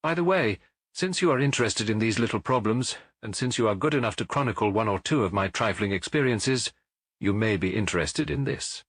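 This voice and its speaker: A man voicing a keen, self-assured Victorian gentleman detective in an audiobook, with a British accent and an incisive, didactic manner. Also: on the low side, lively intonation, fairly quick, breathy edge, no room sound, clean studio sound, full character voice. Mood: brisk, instructive. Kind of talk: audiobook character voice.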